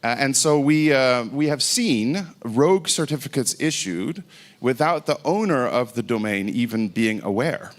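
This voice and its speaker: A man's voice: mid-range voice, animated, unhurried. A middle-aged Dutch man with an American-sounding accent, giving an informal technical talk from a conference stage, with many fillers and restarts. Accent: American-sounding English. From Netherlands. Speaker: conference speaker.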